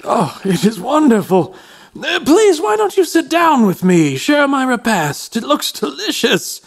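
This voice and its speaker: Husky, creaky, meek old man. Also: very high-pitched, wildly swooping pitch, unhurried, exaggerated voice. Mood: grateful, effusive. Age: older. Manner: hesitant, effusive, old-fashioned formal speech. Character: meek, fearful, grateful old man of gentle sentiment. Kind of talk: radio drama acting.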